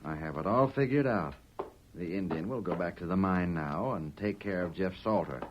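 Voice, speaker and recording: An actor playing a greedy, domineering swindler in a 1940s radio western, calculating and bossy. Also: male voice, deep voice, moderate pace, background noise, natural voice. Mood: commanding, calculating. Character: scheming, greedy, bossy Eastern swindler villain. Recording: dull, muffled old radio recording.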